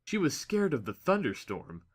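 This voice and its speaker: A man reading a scripted story as a narrator, with expressive, dramatic delivery. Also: a little high, normal speed. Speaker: audiobook narrator.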